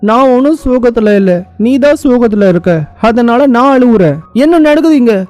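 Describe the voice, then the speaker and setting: A man's voice: on the high side, fast, dark tone, clean tone, lightly acted. A man voicing a vain, proud young actress who is indignant and easily flustered, in a story recap. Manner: indignant, melodramatic, self-questioning. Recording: muffled lo-fi sound with music behind.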